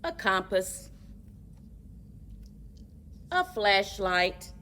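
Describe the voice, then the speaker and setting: A woman reading a children's story aloud in a deliberate, expressive way for young listeners, as a story time host. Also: medium pitch, far from the mic, slight background noise.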